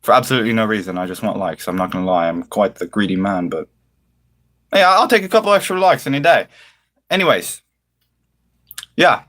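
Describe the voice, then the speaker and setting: Theatrical man with swooping, animated delivery. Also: fairly low pitch, brisk, long pauses. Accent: affected British-style accent. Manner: mock-formal, flowery wording, theatrical. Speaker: livestreamer. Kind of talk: casual livestream chat with viewers.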